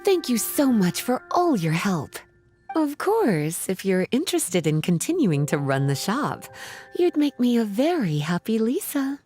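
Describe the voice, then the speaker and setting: A woman's voice: a little high, sing-song, a little slow, darkish tone, soft background music. A woman voicing an elegant, teasing grown-up sorceress in a video game, coy, honeyed and playful. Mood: affectionate, teasing. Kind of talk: English video game voice acting.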